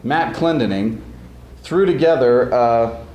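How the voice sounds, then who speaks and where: A man's voice: mid-range voice, takes it slowly, darkish tone. A man hosting a showcase as speech coach, rambling and jokey in his informal introductions. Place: school event before an audience. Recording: echoey hall with background noise.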